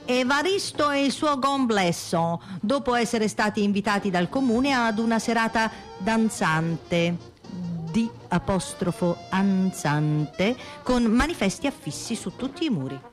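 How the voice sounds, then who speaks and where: A woman reading scripted radio theatre narration with theatrical, measured phrasing. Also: a little high, expressive. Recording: music under the voice. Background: music.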